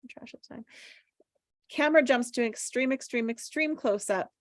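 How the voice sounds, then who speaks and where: A woman's voice: on the high side, moderate pace, no echo. Woman reading stage directions, dry sound. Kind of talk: scripted narration at a table read.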